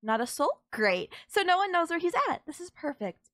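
A woman's voice: very high-pitched, sing-song, normal speed, a bit bright, dry recording, studio quality, heavily acted. A woman playing a sultry, possessive kidnapper who gloats over and coaxes a captive in an intimate audio roleplay. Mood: smug, pleased. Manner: teasing, coaxing, controlling.

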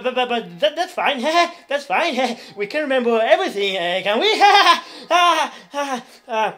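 An aging circus clown, wildly swooping pitch, slow halting delivery, heavy stammer, nervous giggles, unsettling theatrical monologue. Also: male voice, a bit bright. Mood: giddy, then wistful, nervous. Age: older.

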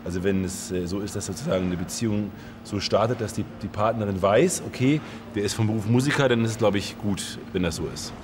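A man with a lowish voice speaks thoughtfully, pausing and restarting. Clear background noise from around him sits under the voice. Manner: thoughtful, hesitant. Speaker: singer. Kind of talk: casual interview.

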